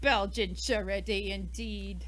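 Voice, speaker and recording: A woman's voice: medium pitch, steady tone, slow, fairly bright tone. A woman playing a brash, defensive, indignant American murder suspect in an old-time radio mystery, with a snappy, huffy delivery. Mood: defensive, protesting.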